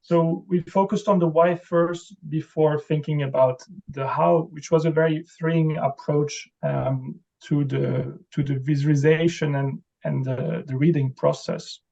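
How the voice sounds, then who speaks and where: A man co-presents an academic talk, reflecting aloud and sometimes searching for the right words. His voice is gravelly and mellow, and it comes through a dull, lo-fi recording. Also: on the high side, steady tone, unhurried, few pauses. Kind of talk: academic lecture.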